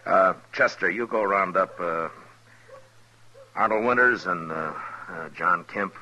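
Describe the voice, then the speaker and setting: An actor playing a stern, steady Old West marshal in a radio western, speaking in a measured, authoritative, terse way. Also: male voice, deep voice, full character voice, rough recording. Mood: deliberate, planning. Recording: vintage radio recording with faint noise.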